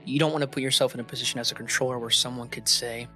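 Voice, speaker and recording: Bright-voiced man telling work stories casually. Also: medium pitch, very fast, faint music behind. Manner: animated, informal, uses slang and quoted dialogue. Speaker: air traffic controller being interviewed. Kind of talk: casual conversational storytelling, acting out radio exchanges.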